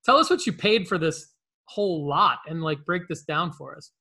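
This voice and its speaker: A man hosting a casual collectibles show, explaining things in a rambling, halting way. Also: on the high side, expressive, pushed and bright. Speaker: show host. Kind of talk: casual, conversational collectibles talk.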